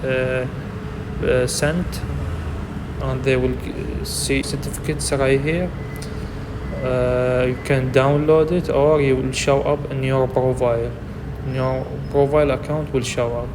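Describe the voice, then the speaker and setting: A man whose first language is Arabic, narrating an app tutorial in a halting way, with frequent fillers and simple grammar. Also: medium pitch, little pitch movement, big-room sound, background noise. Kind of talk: screen walkthrough narration.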